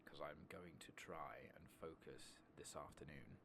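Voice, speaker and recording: A British man giving hushed, soft-spoken ASMR game commentary, often slipping into a whisper. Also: extremely low pitch, fairly even intonation, measured pace, background noise. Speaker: game streamer.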